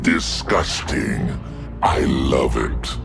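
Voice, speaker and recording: An actor voicing a bloodthirsty, mocking demonic male scythe in a game, with a deep gravelly growl. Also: very slow, over loud music, full character voice. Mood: sneering. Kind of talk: game voice lines.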